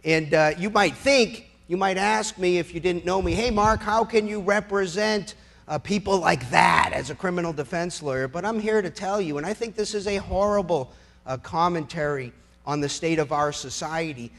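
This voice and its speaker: A man's voice: high-pitched, moderate pace, a bit bright. A middle-aged American man giving a persuasive public speech as a lawyer, emphatic and rhetorical with a sardonic edge.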